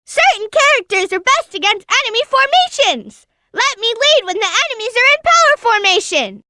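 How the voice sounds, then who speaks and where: A performer voicing an excitable, cheeky little boy bee in a video game, squeaky, bubbly and eager. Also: normal speed, no room sound, heavily acted. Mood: eager, explaining. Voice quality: squeaky, shrill. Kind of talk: video game character acting.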